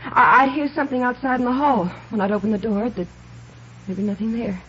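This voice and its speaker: An actress playing a frightened, secretive young woman in a 1950s radio drama, speaking haltingly and nervously. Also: a little high, steady tone, a bit bright, close to an everyday voice. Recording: muffled, noisy vintage radio recording.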